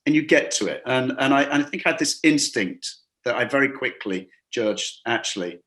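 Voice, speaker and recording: A man's voice: mid-range voice, lively intonation, moderate pace, a bit bright. A man as an interview guest, a hospitality business coach, telling workplace stories in a rambling conversational way, with many restarts and acted-out quotes. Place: lives and works in a Gulf city.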